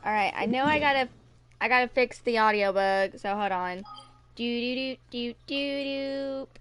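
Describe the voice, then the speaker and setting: Casual young woman streamer, slightly high voice. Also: normal speed, a bit bright, clean tone. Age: 20s. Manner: laid-back, sing-songs filler syllables to self. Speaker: live streamer. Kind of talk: casual chat with stream viewers.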